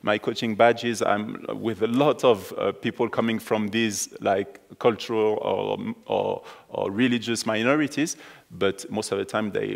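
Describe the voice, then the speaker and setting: A man's voice: fairly low pitch, creaky. A man with a French accent, a native French speaker, talking on a conference panel, hesitant, with many restarts and fillers. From France.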